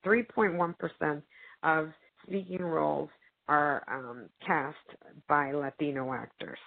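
Lowish-voiced woman, phone-line sound. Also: fairly even intonation, takes it slowly, vocal fry. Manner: earnest, emphatic, many fillers. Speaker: actress and guest. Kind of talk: casual discussion. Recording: narrow phone-like audio.

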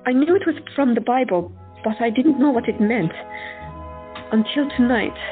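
A woman's voice: fairly high pitch, animated, normal speed, over music, lightly acted. Woman playing an earnest, devoted doctor. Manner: tender, earnest. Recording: phone-like lo-fi.

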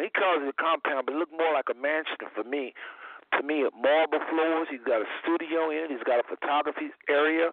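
A hoarse man hosting a call-in talk show, talking to listeners in an animated, preacherly way. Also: a little high, no echo, phone-quality sound. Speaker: host.

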